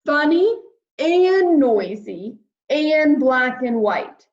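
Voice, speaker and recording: A woman acts out a huge, kindly storybook whale, eager to help. The words are stretched very slowly and emphatically, fairly high in pitch, in an echoey room. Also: big pitch swings, exaggerated voice. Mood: helpful, confident. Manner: drawn-out, booming emphatic delivery. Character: large, friendly, helpful male blue whale. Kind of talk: children's storybook character voice. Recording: roomy echo, slightly dull sound.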